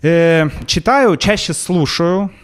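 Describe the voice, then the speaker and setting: A man from St Petersburg with a St Petersburg accent, co-hosting a casual video chat, relaxed and full of fillers and restarts. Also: a little high, takes it slowly. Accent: St Petersburg Russian. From St Petersburg, Russia. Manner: relaxed, chatty, many fillers and restarts, laughs easily. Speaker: video co-host.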